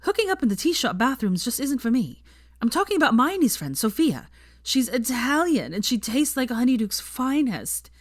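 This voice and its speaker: A woman's voice: on the high side, big pitch swings, normal speed, no echo, studio quality, voice pushed up. A woman voicing a brash, theatrical, foul-mouthed young woman in an audiobook story, gushing and emphatic. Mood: dismissive, brash. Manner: gushing, casual, emphatic, swearing. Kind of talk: fan fiction audiobook character voice.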